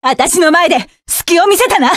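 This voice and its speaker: A woman's voice: extremely high pitch, expressive, fairly quick, dry recording. An actress voicing a fierce, cold young female mecha pilot in a game, giving curt, commanding battle lines. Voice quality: sharp, commanding.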